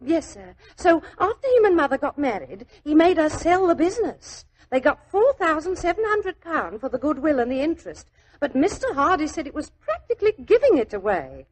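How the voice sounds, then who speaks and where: A naive, talkative young Londoner in 1940s radio style. Her voice is high and very animated. She rambles in colloquial speech, flustered and deferential. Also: fairly quick, poor audio. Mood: chatty, confiding. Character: chatty, flustered, naive working-class London young woman. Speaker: actor in a radio detective drama.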